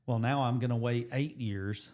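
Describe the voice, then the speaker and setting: An American man in his late forties, co-hosting a relaxed podcast chat. He rambles through figures and plans, circling back and restarting his sentences as he goes, at an average pitch. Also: moderate pace. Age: 40s. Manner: rambling, restarts, thinks out loud with numbers. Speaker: podcast co-host, high school coach and teacher. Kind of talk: casual chat.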